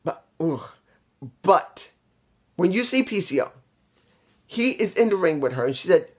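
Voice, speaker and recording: Congested, nasal man with a slightly high voice, casual rambling wrestling reviewer. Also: very animated, measured pace. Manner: rambling, with restarts and stumbles.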